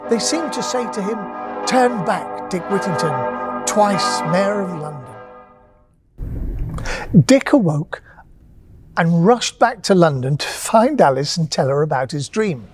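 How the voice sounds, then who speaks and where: A woman narrating a bedtime story with gentle, expressive pacing and dramatic pauses. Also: fairly low pitch, music in the background.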